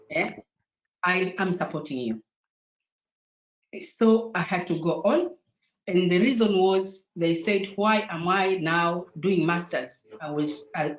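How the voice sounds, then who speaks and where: A woman from Tanzania whose first language is Sukuma, speaking as a guest on an online panel, with hesitant speech full of fillers and restarts. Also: on the low side. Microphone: video call. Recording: roomy, dull video call audio.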